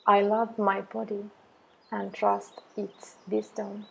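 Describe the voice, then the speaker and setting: A woman guiding an affirmation meditation in a soothing, measured way, with long pauses between deliberate phrases. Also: medium pitch, flat delivery, vocal fry, a little mellow, husky, poor audio. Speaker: meditation guide.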